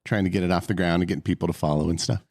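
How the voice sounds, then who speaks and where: A man's voice: low voice, fairly even intonation, racing, a little mellow, no echo, studio quality. A man playing in a tabletop game, talking in an earnest, hesitant way. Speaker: player. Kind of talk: casual chat at the gaming table.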